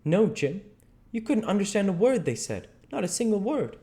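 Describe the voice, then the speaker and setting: An earnest country boy from a storybook, played by a man, speaks with a Southern American accent in a raised, lilting pitch. He is a know-it-all, persuasive and full of questions. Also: very animated, moderate pace, warm tone. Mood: explaining. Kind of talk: audiobook character dialogue.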